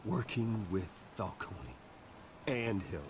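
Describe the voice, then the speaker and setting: An actor playing a hurt, angry young billionaire in a video game, speaking in a demanding, confrontational way. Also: male voice, fairly low pitch, expressive, takes it slowly. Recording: game audio with rain noise.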